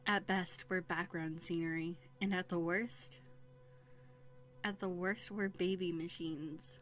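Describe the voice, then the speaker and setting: A woman plays a bookish young runaway princess in an audio drama. The voice sits slightly low for a woman, with an earnest, conversational delivery and hesitant phrasing that carries a quiet bitterness. It is closely miked, with music underneath. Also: steady tone, takes it slowly. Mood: bitter, wounded. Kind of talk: roleplay audio drama acting. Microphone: close USB condenser microphone.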